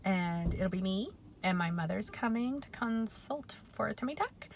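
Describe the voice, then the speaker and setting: A woman in her forties talking in a chatty, reflective way in a personal vlog, often pausing with um and correcting herself. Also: mid-range voice, animated, slight background noise. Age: 40s. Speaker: vlogger.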